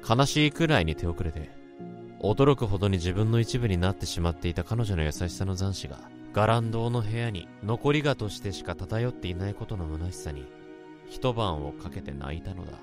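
A man's voice: low-pitched, expressive, moderate pace. A man playing a brooding office worker who narrates his story in a somber, introspective radio drama. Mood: melancholy. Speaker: narrator and lead. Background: music.

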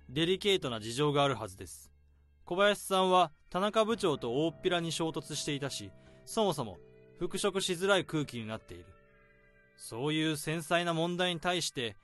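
A man playing a young office worker who calmly unravels a crime in a radio drama. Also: on the high side. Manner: calm, probing, deliberate.

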